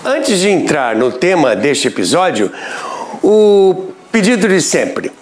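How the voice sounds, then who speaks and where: An older Brazilian man narrates radio history from a script in a Brazilian Portuguese accent. His voice sits a little high for a man, and his pitch rises and falls with lively emphasis. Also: slow pace, faint music behind. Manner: expressive, emphatic delivery.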